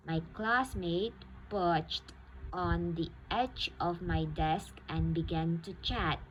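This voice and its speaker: A woman's voice: mid-range voice, a bit bright, a little hiss or hum, rough recording. A woman teaching vocabulary to young pupils, repeating each definition and checking understanding with "okay?". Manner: slow and deliberate, repeats each definition, ends phrases with "okay?". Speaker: school teacher.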